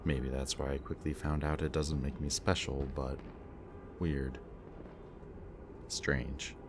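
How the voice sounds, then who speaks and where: A man speaking a gentle, hesitant roleplay monologue to the listener as a soft-spoken villain. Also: very deep voice, level tone, takes it slowly, noisy background.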